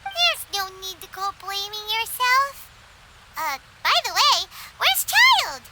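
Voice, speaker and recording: A woman voicing a tiny, childlike, outspoken fairy companion with a squeaky voice in an animated video game. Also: slow pace, background noise, exaggerated voice. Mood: cheeky, questioning. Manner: refers to herself in third person, cheeky.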